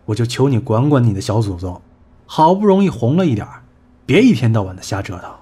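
A man's voice: medium pitch, very animated, fast, dark tone, breathy edge. An actor playing a cynical male talent manager in an audio drama, blunt, sarcastic and scornful. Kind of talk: Chinese audio drama acting.

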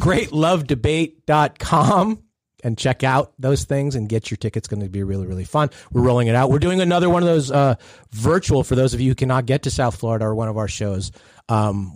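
A man's voice: medium pitch, a little mellow, no echo. Laid-back male podcast host. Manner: laid-back, joking, trails off mid-sentence. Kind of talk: casual chat.

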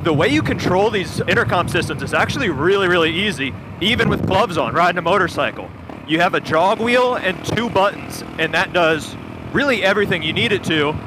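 A man's voice: high-pitched, lively intonation, a little fast. A man riding a motorcycle, explaining gear features in detail, matter-of-fact, over a helmet intercom. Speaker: video producer reviewing gear. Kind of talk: explanatory casual chat. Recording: intercom audio with wind and engine noise.

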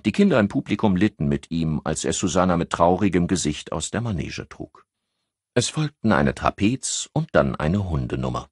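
A man with a husky voice narrating a crime novel as an audiobook. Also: low-pitched, big pitch swings, moderate pace, no room sound, studio quality.

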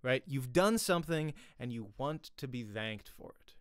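A man, teaching an informal acting lesson in an animated, conversational way, often checking in with "right?". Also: medium pitch, moderate pace, clean tone, no room sound, studio quality. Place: small home room, indoors. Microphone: close desk microphone, headset on.

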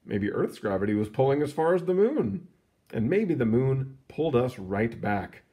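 A man reading a children's science book aloud in an expressive storytelling manner, stretching words for emphasis, with casual asides. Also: mid-range voice. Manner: animated, storytelling delivery with drawn-out emphasis. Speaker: read-aloud reader.

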